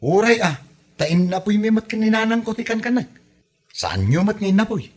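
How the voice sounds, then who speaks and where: A husky-voiced young village man with an Ilocano accent, played by a male actor. He is simple-minded and good-natured, speaking plainly and naively in a homemade comedy radio drama. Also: high voice, sing-song, fairly quick. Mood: defensive.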